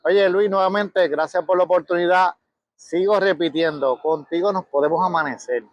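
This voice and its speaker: A man's voice: high voice, moderate pace, no echo, muffled recording. A Puerto Rican man hosting a casual interview, thanking and praising his guest with enthusiasm. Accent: Puerto Rican Spanish. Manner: enthusiastic, grateful. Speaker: interviewer, car channel host. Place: outdoors at a beach park car event.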